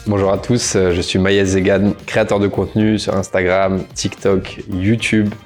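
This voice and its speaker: Deep, flat-voiced man introducing himself. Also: moderate pace, faint music behind. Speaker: guest judge.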